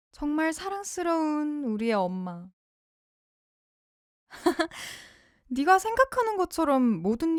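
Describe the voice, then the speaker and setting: A woman playing a soft-spoken calm psychopath. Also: on the high side, no echo, studio quality. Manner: soft, intimate, coolly mocking. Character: calm psychopathic young woman confessing to listener. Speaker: voice actor. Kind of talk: ASMR audio drama monologue.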